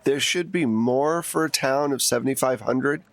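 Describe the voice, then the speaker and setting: A man's voice: mid-range voice, normal speed. A man running a tabletop game as game master, narrating and explaining in a casual, conversational way.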